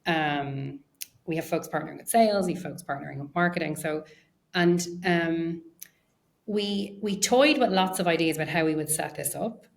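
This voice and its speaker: A woman with a low-pitched voice talks as an interview guest. She explains things in a conversational way, hedging and working out her thoughts aloud as she goes. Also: moderate pace, creaky. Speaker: interview guest, research and data science executive.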